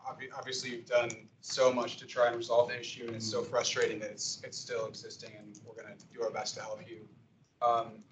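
A man's voice: mid-range voice, completely flat delivery, brisk, background noise. A man chairing a public meeting in a conference room, courteous and agreeable, with some restarts. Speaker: commission chair. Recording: echoey room audio from a distance.

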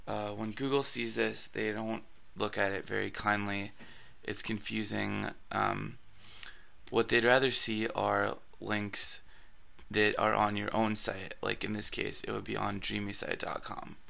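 Raspy, gravelly man walking through a tutorial. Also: on the low side, little pitch movement, a little slow, bright, forceful tone. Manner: casual, with frequent fillers and restarts. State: raspy from allergies. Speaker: blogging tutorial host. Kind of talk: screencast tutorial narration.

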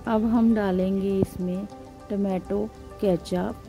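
A woman's voice: medium pitch, fairly even intonation, slow pace, dark tone, soft background music. A woman hosting a cooking channel, giving a step-by-step recipe voice-over in short, instructive phrases.

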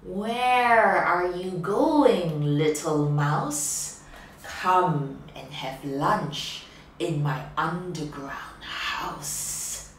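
Woman reading as a sly male fox, slow and coaxing, distant mic. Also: fairly low pitch, slight background noise. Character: sly hungry male fox, smooth talker. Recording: echoey room, distant mic, faint ambience.

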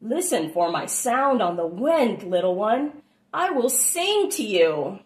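A woman's voice: medium pitch, very animated, slow pace. A woman voicing a loving, reassuring mother elephant in a children's storybook, in a tender, soothing manner. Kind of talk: expressive children's storybook read-aloud.

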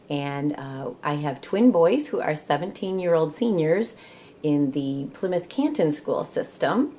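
Casting: middle-aged woman, American Midwestern accent, storybook reader with unhurried pace and animated intonation. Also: deep voice. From Michigan, United States. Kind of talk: children's read-aloud storytelling. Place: small room at home, indoors.

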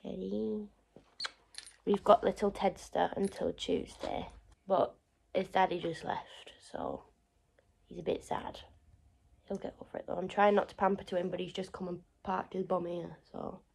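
A woman's voice: lowish voice, fairly even intonation, unhurried, creaky, a little mellow, breathy edge. A young woman vlogging from home in a chatty, rambling way, often sinking to a near-whisper. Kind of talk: casual vlog chat. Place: home living room, indoors. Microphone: phone camera held close.

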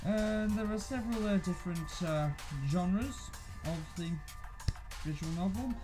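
A man's voice: high-pitched, a little slow, over music. A young man co-hosting a casual video discussion. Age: young adult. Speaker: co-host.

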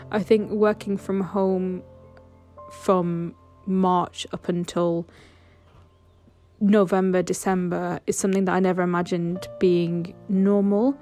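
Woman talking thoughtfully into a boom mic, mellow flat voice, light music behind. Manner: thoughtful, conversational. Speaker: interviewee. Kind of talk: reflective interview. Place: indoor room by large windows. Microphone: studio mic on boom arm.

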